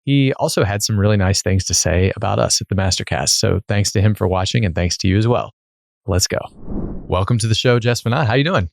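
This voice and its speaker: Gravelly low-voiced male podcast host. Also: a little fast, no room sound, clean studio sound. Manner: reads the introduction, then short prompts. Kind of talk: scripted intro then interview.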